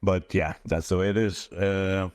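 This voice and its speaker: A man talks casually as an interview guest. His voice is low and smooth with a dry sound, and his comes haltingly, padded with "you know". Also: fairly even intonation, a little mellow, no room sound. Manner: halting non-native English with frequent "you know". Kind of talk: casual chat. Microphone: mobile device.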